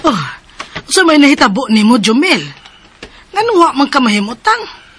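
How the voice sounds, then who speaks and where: An actress playing a fretful, worried aunt in a radio drama. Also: female voice, sits high, big pitch swings, normal speed, fairly bright tone, faint music behind. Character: worried middle-aged aunt, fretful and scolding.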